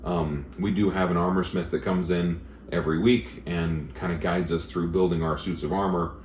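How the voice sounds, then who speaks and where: A man who teaches blacksmithing, talking in a casual interview with a booming, emphatic way of explaining things at length. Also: steady tone, normal speed. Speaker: blacksmith instructor. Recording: echoey room with faint noise.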